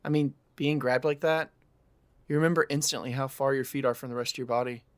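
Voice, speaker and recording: A man playing a haunted young man in an audio drama, telling his story in a measured, grave way. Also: mid-range voice, steady tone, dry recording, lightly acted. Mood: uneasy, reflective. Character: haunted young man, Aaron, recounting a childhood fright.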